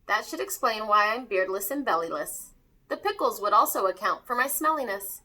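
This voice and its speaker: A woman's voice: mid-range voice, expressive, moderate pace, pushed and bright. A woman voicing a grumbling, wisecracking old man who bluffs his way through playing Santa in a storybook. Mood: blustering bluff. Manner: blustering, wisecracking.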